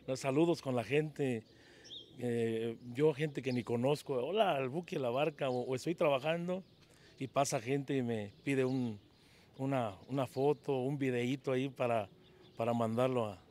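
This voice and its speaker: A man's voice: mid-range voice, moderate pace, a little mellow. A Mexican man in his fifties, a local singer answering questions in a casual street interview in a town plaza, humble and hesitant, often repeating words, speaking into a handheld mic. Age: 50s. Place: town plaza outdoors at dawn. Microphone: handheld mic held by interviewer.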